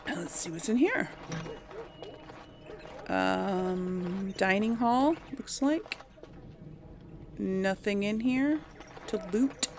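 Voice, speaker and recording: A woman's voice: mid-range voice, very slow, pauses often, a little hiss or hum. A woman hosting a casual game playthrough, chatting informally to her audience and thinking aloud. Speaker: host of a game playthrough series. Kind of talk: casual chatty let's play commentary.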